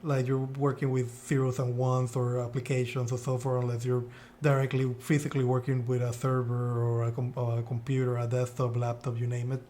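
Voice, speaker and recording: A man's voice: mid-range voice, monotone, a little fast, mellow, slight background noise. A man from Puerto Rico with a Puerto Rican Spanish accent, co-hosting a podcast in casual, thoughtful, rambling conversation. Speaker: podcast co-host.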